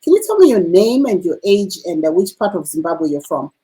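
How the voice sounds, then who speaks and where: A woman interviews a guest on a radio program. Her voice is low and warm. She puts her questions in a measured way, with a few uh pauses. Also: sing-song, rough recording. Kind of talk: broadcast interview.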